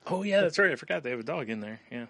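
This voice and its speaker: Bright-voiced man chatting casually. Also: medium pitch, lively intonation, racing, gravelly, no room sound. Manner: casual, profane, joking, chuckles often. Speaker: podcast co-host. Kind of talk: casual chat.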